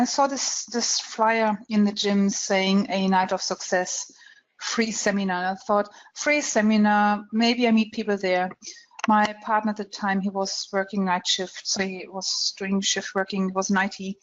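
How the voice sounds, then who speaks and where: Frank German-accented woman, muffled webinar. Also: medium pitch. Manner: frank, laughs easily, many ums. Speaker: trader, interview guest. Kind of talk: conversational interview storytelling.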